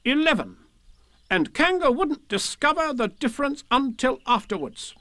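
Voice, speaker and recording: A self-important rabbit from a children's tale. The voice is pitched high and swings about as it declaims its schemes with pompous, bossy briskness. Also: male voice, sing-song, sharp tone, dry recording, heavily acted. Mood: proudly declaiming.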